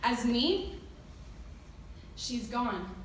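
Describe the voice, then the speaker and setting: A woman reciting rhyming slam poetry with dramatic pauses and an emotionally intense delivery. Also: fairly high pitch, lively intonation, far from the mic. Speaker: slam poet. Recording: echoey room from afar, noisy.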